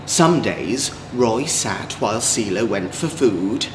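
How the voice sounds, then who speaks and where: A man with an English accent, a drag queen storyteller, reading a children's story in an expressive, gentle way. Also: fairly low pitch, takes it slowly. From Manchester, England. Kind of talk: children's storybook read-aloud. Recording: echoey room, clear background noise.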